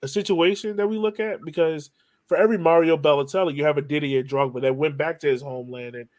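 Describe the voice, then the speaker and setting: A man's voice: a little high, steady tone, fast, darkish tone. A man hosting a podcast in a casual, conversational interview style, asking long questions. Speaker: podcast host.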